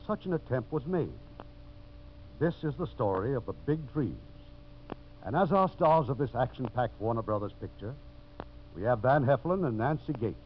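A man's voice: mid-range voice, slight background noise. A man hosting a radio theater as its producer, delivering scripted narration in 1950s radio style. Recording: muffled old radio recording, faint music.

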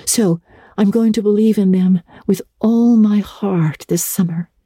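A woman's voice: mid-range voice, a little slow, warm tone, no echo, clean studio sound, voice pushed up, close to an everyday voice. A woman voicing an eager, talkative, dreamy young girl in a dramatic storybook reading, gushing with breathless earnestness. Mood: chatty, dreamy eagerness.

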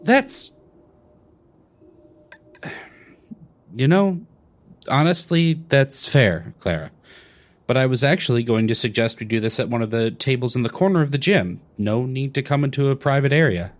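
An actor playing a mild, earnest young priest in a comedic audio drama, polite and hesitant, trying to sound cool. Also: male voice, mid-range voice, animated, moderate pace, natural voice. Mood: polite, uneasy. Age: young adult.

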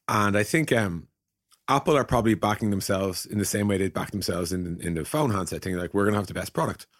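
A man's voice: on the low side, brisk, dry recording, studio quality. A man in a casual tech discussion, hosting and telling points through imagined quotes. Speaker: podcast host and tech executive.

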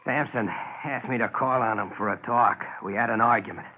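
A man's voice: mid-range voice, a little fast, narrow, tinny audio, a little hiss or hum, lightly acted. A man playing an embittered, hot-tempered songwriter, tense and blustering, in a 1950s radio drama. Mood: bitter. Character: hot-tempered, embittered starving male songwriter, defiant crackpot. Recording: old 1950s radio recording.

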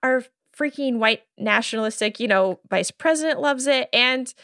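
A woman's voice: a little high, moderate pace, fairly bright tone, dry recording, clean studio sound. A woman in her thirties with an American accent chatting casually and exasperatedly as a podcast guest. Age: 30s. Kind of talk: casual chat.

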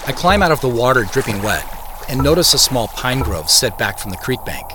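A man's voice: medium pitch, sing-song, brisk, noisy background, close to an everyday voice. An actor playing a reflective man who narrates his own story in a scripted first-person style. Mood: calm, wondering. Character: reflective man recounting his dreams and his search. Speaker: narrator and main character of an audio drama. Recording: music playing behind the voice. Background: music.

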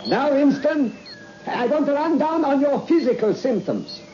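Formal, animated mission controller. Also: male voice, very high voice, a little slow. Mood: proud, official. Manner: formal, commanding, grandly declamatory. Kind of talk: old-time radio drama acting. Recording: dull, noisy old radio recording.

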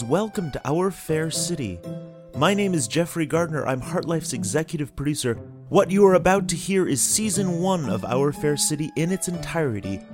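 A man, the show's executive producer, giving an upbeat, welcoming introduction. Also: on the high side. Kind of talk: show introduction.

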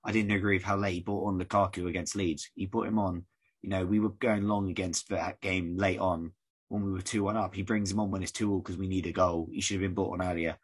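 A man from the UK hosting a casual podcast, rambling and conversational, his voice croaky. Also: sits low, little pitch movement, fast talker. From United Kingdom. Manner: rambling, conversational, many fillers. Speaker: podcast host.

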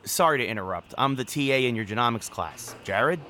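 A man's voice: mid-range voice, animated, a little fast, bright, forceful tone, a little hiss or hum. An actor playing a friendly young male teaching assistant with a light, chatty, teasing way, in a naturalistic audio drama. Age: young adult.

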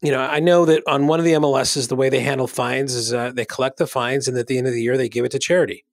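A man's voice: mid-range voice, fairly even intonation, fast, no room sound. Casual male podcast co-host, dry close sound. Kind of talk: casual conversational podcast chat.

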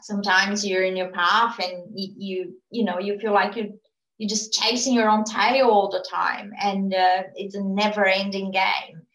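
A woman who runs a PR agency answers interview questions in a casual, earnest way, often restarting her sentences and filling pauses. English is her second language. Her voice sits in a middle range and bounces around an echoey room. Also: medium pitch, flat delivery, a bit bright. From immigrant to Australia. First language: not English. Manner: earnest, frequent fillers and restarts. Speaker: PR agency owner, guest.

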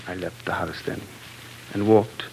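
An actor playing an anguished, passionate time traveler in love, in theatrical 1940s radio drama style. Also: male voice, sits low, steady tone, a little slow, darkish tone, a little hiss or hum, rough recording. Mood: somber, reflective. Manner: impassioned, theatrical.

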